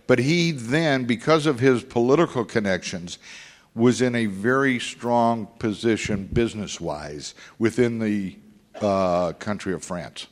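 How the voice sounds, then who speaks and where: An older man recalling his business career in a halting, rambling way with many fillers. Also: fairly low pitch, big-room sound. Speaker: former industry executive. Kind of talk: oral history interview.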